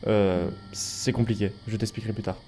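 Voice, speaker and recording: A man's voice: fairly low pitch, fairly even intonation, normal speed, mellow, a little hiss or hum, natural voice. A man playing a level-headed, loyal leader in a French audio drama, earnest and firm as a peacemaker. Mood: calm, hesitant. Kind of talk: French audio fiction acting.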